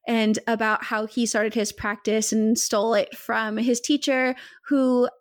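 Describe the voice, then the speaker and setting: A woman's voice: a little high, little pitch movement, unhurried, no echo, clean studio sound. A woman hosting a podcast interview, chatty and laughing often as she talks. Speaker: podcast host. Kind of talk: casual interview chat.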